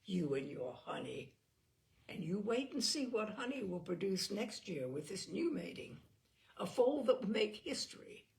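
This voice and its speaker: Casting: opinionated young horsewoman for an audiobook played by a female narrator, chatty and wry, affectionately teasing, with a creaky edge. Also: medium pitch, big pitch swings, measured pace, a little mellow. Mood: teasing, proud. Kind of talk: audiobook character dialogue.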